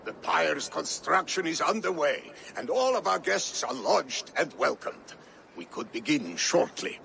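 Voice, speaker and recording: Older Norse retainer, gravelly, lively pitch, solemn, loyal, formal, video game cutscene, noisy ambience. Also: male voice, a little slow, full character voice. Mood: solemn, welcoming.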